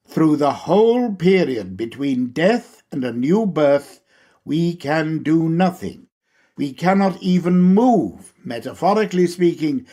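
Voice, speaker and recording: A male narrator reads a formal lecture at a slow, deliberate pace. His pitch moves widely as he sets out each phrase with measured care. The delivery is scripted and instructive. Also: a little mellow. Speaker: reader of a lecture.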